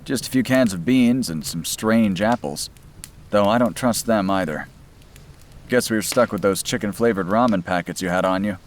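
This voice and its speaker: A man's voice: fairly low pitch, moderate pace, a little hiss or hum, close to an everyday voice. A man voicing a protective, mildly pouty young man in a narrated story. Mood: wry. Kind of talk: audiobook-style character dialogue.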